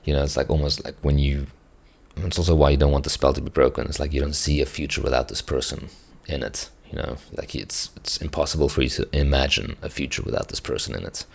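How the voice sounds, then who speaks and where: Hoarse, very deep gravelly man. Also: steady tone, fast. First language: Afrikaans. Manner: reflective, hesitant, many restarts. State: hoarse, strained voice. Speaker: musician reacting to songs. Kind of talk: casual reaction commentary.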